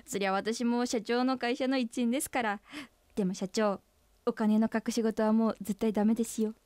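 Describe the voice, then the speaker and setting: An actress playing a blunt, teasing young company employee in a radio drama, with a deadpan, brisk delivery. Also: female voice, a little high, no room sound, close to an everyday voice. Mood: sly.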